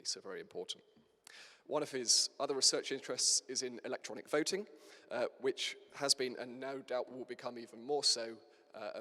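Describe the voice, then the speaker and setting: A man hosting a lecture series, giving a formal introduction of the speaker with some pauses. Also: mid-range voice, bright, forceful tone. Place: large lecture hall. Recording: hall reverb.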